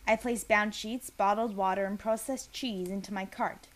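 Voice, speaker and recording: Female reader, mid-range pitch, for first-person literary narration; deliberate, expressive, with dramatic stresses.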